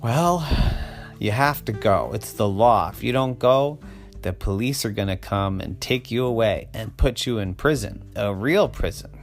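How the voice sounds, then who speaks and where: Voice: mid-range voice, a little slow, faint music behind, natural voice. A storyteller voicing a soothing, slightly dismissive mother of a young boy, reassuring in a singsong way. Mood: matter-of-fact warning. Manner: reassuring, singsong, exaggerated concern.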